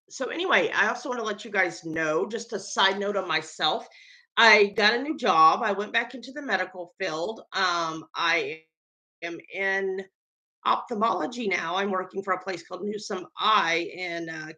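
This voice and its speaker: A woman's voice: mid-range voice, unhurried, sharp tone. A tired woman with a Southern American accent, chatting casually to camera on her baking vlog, rambling with lots of ums. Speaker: home baker and vlogger.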